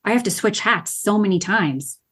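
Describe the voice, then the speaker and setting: A woman talking as a podcast guest, telling stories about her goals in an upbeat, animated way. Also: medium pitch, normal speed, slightly rough. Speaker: podcast guest, gamification coach. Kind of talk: casual podcast conversation.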